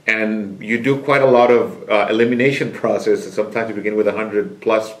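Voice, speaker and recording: Middle-aged guy from nicaragua, orchestra director, low easy voice, chatting in a big echoey hall. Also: fairly even intonation, a little fast. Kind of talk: relaxed interview answers.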